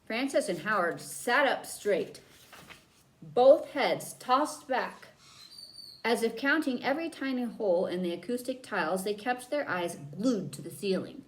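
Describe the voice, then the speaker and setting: A woman reading a children's book aloud as a storyteller, with dramatic emphasis and drawn-out key words. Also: fairly high pitch, lively intonation, poor audio. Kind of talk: expressive read-aloud narration for children.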